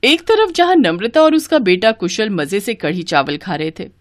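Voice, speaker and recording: Animated woman storyteller, dry recording. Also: medium pitch, moderate pace, no echo. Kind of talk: scripted Hindi story narration.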